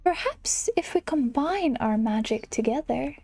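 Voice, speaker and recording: A woman voicing a kindly, forgetful elderly fairy godmother in a video game, gentle and hesitant, fussing as she goes. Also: high-pitched, expressive, a little slow, soft background music, full character voice. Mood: earnest.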